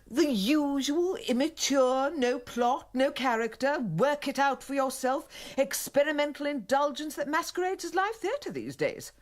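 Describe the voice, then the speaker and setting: A woman's voice: high voice, expressive, a little slow. A woman playing a haughty, easily offended middle-aged mother in a radio comedy, prim, pompous and emphatic. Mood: disdainful. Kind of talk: radio comedy play acting.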